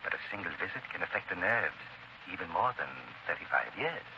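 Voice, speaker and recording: An actor playing an aging, bitter prison guard in a 1930s radio drama, halting and evasive, with quiet menace. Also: male voice, low-pitched, expressive. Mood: insinuating, insistent. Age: older. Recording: muffled, lightly noisy 1930s radio broadcast.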